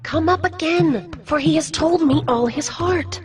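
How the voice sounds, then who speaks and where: A woman's voice: very high-pitched, unhurried, music in the background. An actress playing a seductive, reproachful woman coaxing her lover in a dramatized scripture audio drama, with theatrical pleading delivery. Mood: triumphant.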